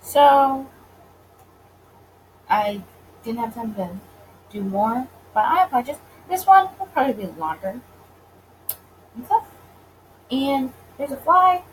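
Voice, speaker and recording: A woman's voice: high voice, expressive, takes it slowly, pauses often, rough recording. A woman gaming creator chatting casually and reading visual novel narration aloud, recorded with faint noise behind her. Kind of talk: casual let's play with read-aloud narration.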